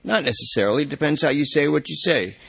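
A man's voice: on the high side, lively intonation, quick pace, gravelly, a bit bright, slightly rough, pitched above the performer's own voice, full character voice. A man voicing a wry male police detective who coaxes a suspect in a matter-of-fact way. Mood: coaxing.

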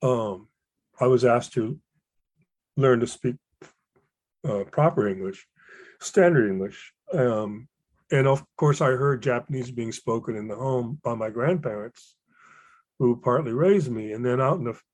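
An older man from Hawaii telling stories about fellow poets in a relaxed conversation, with frequent fillers and restarts. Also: mid-range voice, measured pace, long pauses. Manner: rambling, many fillers and restarts, warm anecdotes. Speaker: poet and guest. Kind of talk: reflective storytelling conversation.

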